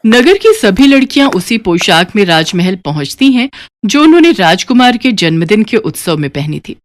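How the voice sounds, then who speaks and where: A woman narrating a scripted animated moral story in an expressive storytelling manner. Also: medium pitch, moderate pace.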